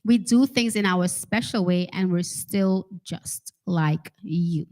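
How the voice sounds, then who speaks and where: A woman speaking informally to an audience as a children's author, chatty and expressive, often checking in with her listeners. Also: fairly low pitch, slow, a little hiss or hum. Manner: chatty, expressive, addresses listeners with "right?" and "okay?". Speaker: special education teacher. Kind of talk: informal talk and read-aloud to an audience.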